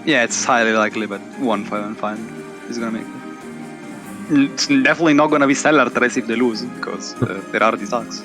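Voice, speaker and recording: An esports caster gives upbeat, joking play-by-play over steady game music. He sits at a middle pitch and keeps the talk chatty and quick to react. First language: Italian. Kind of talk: live game commentary.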